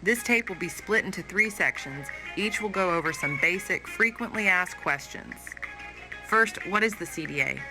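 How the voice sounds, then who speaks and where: A polite, formal corporate narrator, acted for an analog horror tape reads a scripted training video. The medium-pitched voice is cheerful, with clear background music underneath. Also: animated, moderate pace, lightly acted, low-quality recording.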